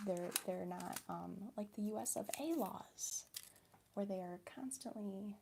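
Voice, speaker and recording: Young Midwestern woman, ASMR host: soft whisper-to-murmur delivery, gravelly fry, chatty and giggly, mid-range pitch. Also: a little hiss or hum. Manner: soft-spoken, drifting between whisper and quiet voice; chatty and giggly, answering viewers. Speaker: ASMR creator. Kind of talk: ASMR chat while crafting.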